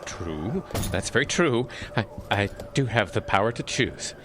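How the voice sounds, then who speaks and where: Actor as a freezing earnest man, halting, muttering, lively intonation, slightly low pitch, audio drama, noisy background. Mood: earnest, pondering. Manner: halting, muttering, stammered repetitions. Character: a freezing, flustered, earnest man stranded in a blizzard.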